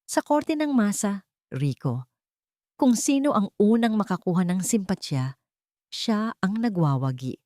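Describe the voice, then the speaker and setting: A woman's voice: medium pitch, sing-song, a little slow, long pauses, warm tone, no echo, clean studio sound, pitched above the performer's own voice. A woman voicing a domineering, scornful, wealthy older mother in a narrated story, with a commanding, threatening manner. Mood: cold, scheming.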